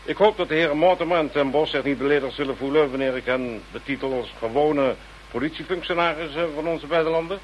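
An actor playing a solemn government minister who speaks in a formal, measured way in a radio drama. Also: male voice, fairly high pitch, fairly even intonation, muffled recording, lightly acted. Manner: measured, official. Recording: faint hum, old radio play.

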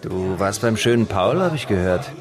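A man's voice: fairly low pitch, expressive, normal speed, natural voice. A man playing a cagey, streetwise underworld informant in a naturalistic radio drama, teasing and slangy. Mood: sly, teasing. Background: loud music.

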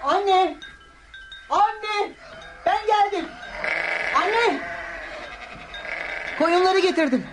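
A woman playing an eager, dreamy young shepherd boy in Turkish radio theatre, excitable and pleading. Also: very high-pitched, extremely slow, long pauses, exaggerated voice. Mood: hurried. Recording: noisy radio drama recording.

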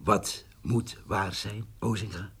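An actor playing a blunt, stubborn village farmhand in a radio drama, husky and earthy in his way of talking. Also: fairly low pitch, steady tone, slow, fairly bright tone, dry recording, heavily acted. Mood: guarded. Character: blunt, stubborn, nosy Dutch village farmhand, plain-spoken. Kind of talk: naturalistic radio drama acting.

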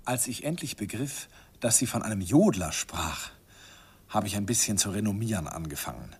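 A man's voice: on the low side, sing-song, moderate pace, darkish tone, husky, exaggerated voice. An actor narrating a radio play as an older man recalling his youth with wistful, self-mocking irony. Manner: wistful, self-ironic reminiscing.

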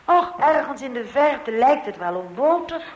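Radio drama housewife: very high voice, polite, flustered, hesitant delivery. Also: female voice, big pitch swings, normal speed, muffled recording, slight background noise. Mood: doubtful. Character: flustered, polite housewife, wife of a clumsy do-it-yourselfer. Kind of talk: radio play acting. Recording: old radio play recording.

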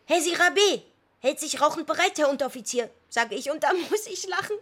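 An actress playing a brooding, defiant daughter who pines for her twin brother, in intimate, husky radio drama acting. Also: sits high, expressive, a little fast, a bit bright. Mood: reading, animated. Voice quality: husky, breathy.

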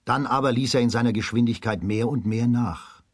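A man narrating a children's radio play as a scripted storyteller, in a husky voice. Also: medium pitch, a little fast, no room sound.

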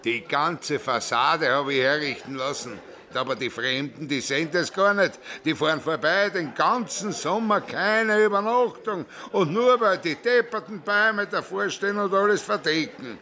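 Grumbling Austrian innkeeper, bright forceful voice. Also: male voice, a little high, normal speed, hardly any pauses. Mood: aggrieved. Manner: plain-spoken, complaining. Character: grumbling, business-minded village innkeeper. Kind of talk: radio drama acting.

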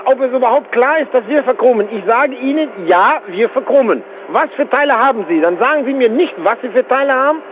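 A man in a spontaneous phone conversation, blunt and exasperated, insistently repeating his questions. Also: very high-pitched. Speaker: chrome-plating tradesman receiving a call. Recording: thin phone line, faint noise.

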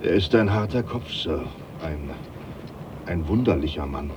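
An actor playing a deferential, plain-spoken, reassuring manservant aboard a ship in a radio drama, respectful and wry. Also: male voice, low-pitched, expressive, a little slow. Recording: muffled, clearly noisy.

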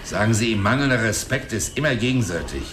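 A man's voice: on the low side, lively intonation, quick pace, a bit bright, soft background music. An actor playing a cantankerous, scrawny old man who talks boastfully and crudely in a radio play. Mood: indignant. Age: older. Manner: cranky, mocking, boastful.

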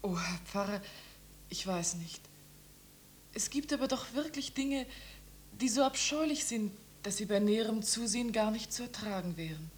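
Woman acting a delicate young lady, medium pitch, timid, hesitant, old radio play, faint noise. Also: animated, moderate pace, lightly acted. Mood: timid, distressed. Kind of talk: 1950s German radio drama acting. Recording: noisy 1950s radio recording.

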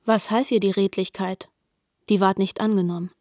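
A woman's voice: mid-range voice, steady tone, moderate pace, a little mellow, no echo, clean studio sound, close to an everyday voice. A woman narrating a literary radio drama as a grave, articulate storyteller.

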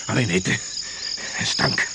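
An actor playing an exhausted, jittery jungle crash survivor in a Dutch radio play, agitated and insistent. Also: male voice, slow pace, lightly acted. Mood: frightened, exhausted. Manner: jittery, insistent, fragmented phrases. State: worn out, agitated. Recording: noisy, insects and frogs behind.

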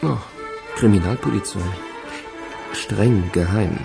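A sly, easygoing detective in a radio crime play. His slightly low voice has a gravelly creak and a conspiratorial, teasing manner. Music plays clearly behind him. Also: slow. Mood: teasing, businesslike. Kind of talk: German radio crime drama acting.

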